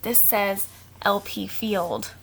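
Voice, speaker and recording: A woman's voice: medium pitch, takes it slowly, gravelly, noisy background. A woman reading picture books aloud to children like a teacher, expressively, with simple casual asides. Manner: expressive, simple explaining to young listeners.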